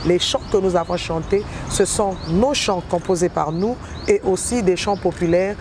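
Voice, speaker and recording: A woman in her forties with a Congolese French accent, a musician answering interview questions in a measured, hesitant way, pausing often between short phrases. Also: fairly low pitch. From Republic of the Congo. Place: outdoors in a city. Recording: noisy outdoor street with birds and traffic. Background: birds and passing vehicles.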